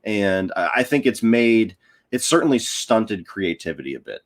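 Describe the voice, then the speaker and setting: Deep-voiced man chatting on a podcast. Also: sing-song, moderate pace. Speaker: podcast guest, horror film reviewer. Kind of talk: casual podcast conversation.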